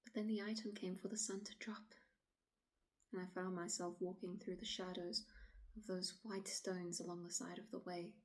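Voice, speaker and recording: A woman acting a shaken city woman in a dramatic stage monologue, pleading her account to police in a halting, often hushed voice. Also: on the low side, little pitch movement, natural voice. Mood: haunted, fearful. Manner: halting, hushed, often breathy near-whisper.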